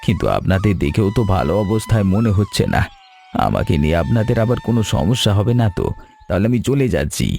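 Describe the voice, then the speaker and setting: A man's voice: on the low side, very animated, fast talker, a little mellow, faint music behind. A man playing an ailing, helpless but courteous stranger in a cartoon story, speaking modestly. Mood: weak, pleading.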